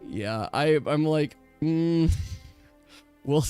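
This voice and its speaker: Higher-pitched man chatting as game master. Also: extremely slow, mellow, smooth, faint music behind. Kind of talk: casual chat with players.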